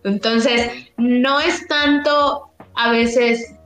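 A woman from Yucatán answers interview questions in a loose, rambling way, full of fillers and restarts. Her voice sits a bit high. It was recorded in an echoey room, with faint music underneath. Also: steady tone, extremely slow, fairly bright tone. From Mexico, Yucatán. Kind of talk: casual conversational interview.

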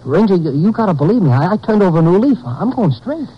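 Jittery American jailbird informant, husky, stammering, pleading; vintage muffled radio drama. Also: male voice, high voice, sing-song, full character voice. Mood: anxious, pleading. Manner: stammering, halting, ungrammatical. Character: nervous, pleading small-time male convict informant. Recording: muffled old 1950s radio recording.

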